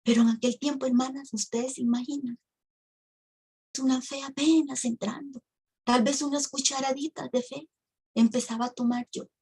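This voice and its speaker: Husky woman giving testimony. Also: high-pitched, steady tone, normal speed, darkish tone. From Costa Rica. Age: 50s. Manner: emotional storytelling, addresses the audience as sisters. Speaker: speaker at a women's ministry. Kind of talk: religious testimony.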